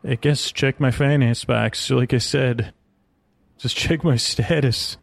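Male sleep podcast host, gravelly fry, close dry sound, meandering and self-interrupting bedtime rambler. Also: mid-range voice, steady tone, slow pace, no echo. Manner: meandering, hesitant, self-interrupting, trailing off into tangents.

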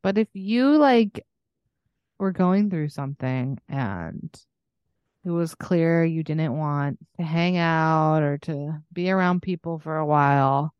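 A young woman co-hosting a podcast, chatting casually and unscripted with a friend as she rambles and thinks out loud. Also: deep voice, takes it slowly, clean tone, dry recording, lo-fi sound. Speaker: podcast co-host.

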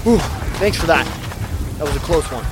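A man's voice: fairly high pitch, animated, normal speed, over loud music, close to an everyday voice, rough recording. A man playing a jumpy, loyal survivor sidekick in a zombie audio drama. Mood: relieved.

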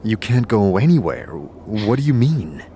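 A man's voice: lowish voice, big pitch swings, a little slow, warm tone, slight background noise. A man playing a concerned, puzzled male wanderer in an audio drama. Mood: disbelieving.

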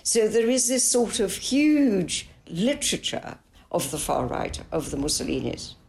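An older woman author answering interview questions in a measured, thoughtful and frank way. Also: medium pitch, big pitch swings, gravelly, darkish tone. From Italy. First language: English. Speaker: author and biographer.

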